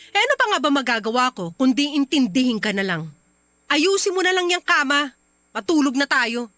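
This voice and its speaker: A woman playing an expressive young wife and mother in a radio drama, emphatic and full of pointed questions. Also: high voice, normal speed, pauses often, no echo. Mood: resigned. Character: exasperated young wife and mother, teasing then reproachful. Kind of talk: radio drama acting in dialogue. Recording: music under the voice. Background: background music.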